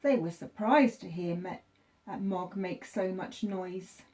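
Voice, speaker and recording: A woman teacher reading a children's story aloud, with expressive, deliberate phrasing for young listeners. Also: fairly low pitch.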